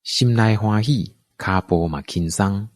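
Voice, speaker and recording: A man's voice: lowish voice, takes it slowly, no echo, clean studio sound. A man with a Taiwanese accent narrating a scripted radio drama as a storyteller.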